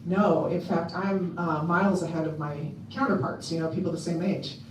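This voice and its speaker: A woman with a loud voice giving a casual talk to a convention audience, chatty and full of ums and restarts. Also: on the low side, fairly even intonation, moderate pace, slight background noise. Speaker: convention speaker. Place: convention hall. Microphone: handheld mic on a stand. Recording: echoey hall, mic at a distance.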